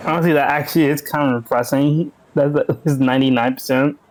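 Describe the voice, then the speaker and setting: A man's voice: mid-range voice, fairly even intonation, quick pace, a little mellow, rough recording. A man on a live stream who chats casually and throws in short teasing jokes. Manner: teasing one-line quips. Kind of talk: casual chat.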